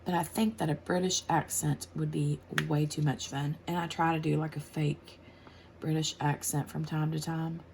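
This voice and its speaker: A woman in her forties with an American accent, unhurried and chatty as she answers questions while crafting at home. Her voice sits a little low and has a gravelly edge, and she laughs easily between thoughts. A faint hum of room noise lies under the recording. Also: level tone, darkish tone, poor audio. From United States. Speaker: craft channel host. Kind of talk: casual chat while crafting.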